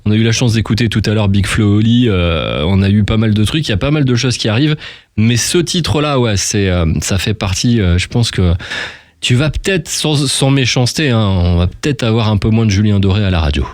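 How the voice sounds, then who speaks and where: A man's voice: lowish voice, brisk, no echo. Teasing male radio host, close dry sound. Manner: teasing, informal. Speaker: radio host interviewing a guest. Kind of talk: casual bantering radio interview chat.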